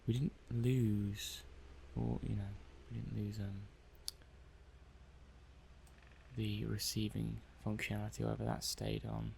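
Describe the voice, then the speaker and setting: A man's voice: fairly low pitch, completely flat delivery, moderate pace, creaky, a little mellow, slight background noise. A man playing a mild, methodical robot-testing technician in an ASMR roleplay, muttering hesitantly and matter-of-factly to himself. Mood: focused, tentative. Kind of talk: soft-paced ASMR roleplay monologue.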